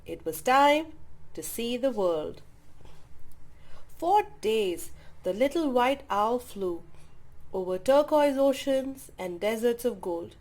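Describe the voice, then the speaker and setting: Woman, children's story read-aloud, slow pace, animated intonation, expressive, drawn-out emphasis. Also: a little high.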